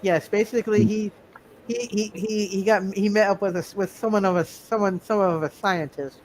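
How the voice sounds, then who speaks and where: A man narrating a tabletop roleplaying game as game master, speaking haltingly and often repeating his words. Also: mid-range voice, fairly even intonation, a little mellow, a little hiss or hum, low-quality recording.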